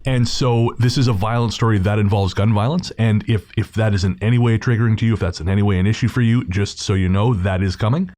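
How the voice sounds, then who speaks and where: Low-voiced man hosting a podcast. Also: steady tone, quick pace, hardly any pauses, darkish tone. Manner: informal, slangy, addressing listeners directly. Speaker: podcast host. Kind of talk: casual episode introduction.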